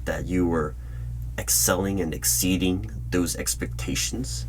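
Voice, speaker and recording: A man playing a polite, probing hiring manager in an ASMR interview roleplay, speaking in measured phrases with deliberate pauses. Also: low voice. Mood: curious. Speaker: job interviewer. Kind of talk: ASMR roleplay, spoken aloud. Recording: background noise with keyboard typing. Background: computer keyboard typing.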